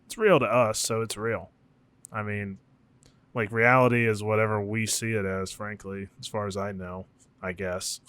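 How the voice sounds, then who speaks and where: A man's voice: fairly low pitch, flat delivery, moderate pace, a bit bright, no echo. A man co-hosting a podcast in an informal, musing, chatty way with the odd casual swear word. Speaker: podcast co-host.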